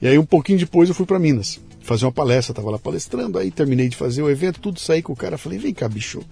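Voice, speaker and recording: A Brazilian man from interior São Paulo hosting a podcast interview, telling stories in an animated, emphatic way. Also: on the high side, very fast, vocal fry, breathy edge, faint music behind. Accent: São Paulo interior Brazilian Portuguese. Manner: animated storyteller, emphatic asides. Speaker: podcast host. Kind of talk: conversational interview.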